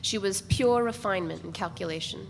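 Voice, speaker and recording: A woman reading literary narration aloud at a steady, measured pace. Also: medium pitch, gravelly, long reverb tail, noisy background.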